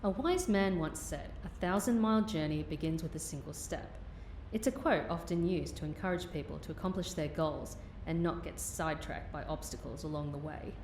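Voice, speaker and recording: A woman's voice: low voice, moderate pace, a little mellow, slight background noise, natural voice. A woman playing a wry, stubborn agent recovering from injury in an audio drama, with a dry, defiant manner.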